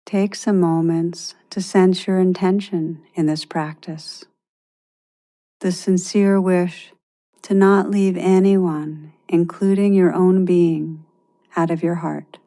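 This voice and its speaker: A woman leading a guided meditation in a gentle, measured way, leaving long pauses between phrases. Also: on the low side, fairly even intonation.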